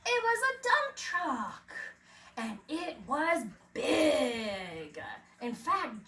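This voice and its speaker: A woman reads a picture book to small children at a very slow pace. Her intonation swings widely, with dramatic emphasis in a preschool-teacher manner. She sounds distant from the mic, with some faint noise. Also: high voice, reverberant. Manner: expressive, dramatic emphasis, asks young listeners questions. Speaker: preschool teacher reading aloud.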